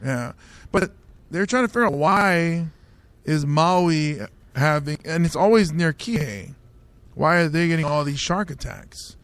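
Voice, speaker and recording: A man's voice: on the high side, unhurried, fairly bright tone. A man from Samoa hosting a casual comedy podcast, riffing on the news and laughing at his own bits. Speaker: host, stand-up comedian.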